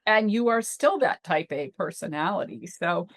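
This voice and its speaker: A woman's voice: fairly low pitch, expressive, normal speed, dry recording, clean studio sound. A woman hosting an interview, asking questions in an inquisitive, conversational way. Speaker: host.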